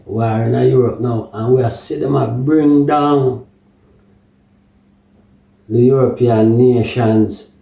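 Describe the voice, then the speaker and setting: A Jamaican man delivers unscripted political commentary at a slow, halting pace. His voice is lowish and mellow, with patois turns of phrase and rhetorical check-ins. He sounds recorded in an echoey room, away from the microphone. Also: far from the mic.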